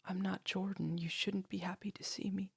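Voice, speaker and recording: A woman's voice: mid-range voice, flat delivery, moderate pace, creaky, breathy edge, no echo, studio quality, voice pushed up. A woman voicing a distraught, guilt-ridden young woman in an audio story, breathless and crying. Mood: miserable, hushed.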